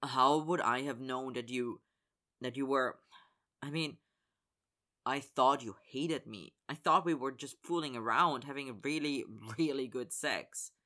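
A man's voice: mid-range voice, measured pace, bright, forceful tone. A man playing an earnest, polite young hockey player. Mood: pleading.

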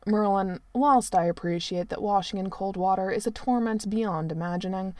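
A woman's voice: medium pitch, moderate pace, dark tone, dry recording, close to an everyday voice. A woman voicing an irritable young prince who drawls and snaps in exasperation. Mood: exasperated.

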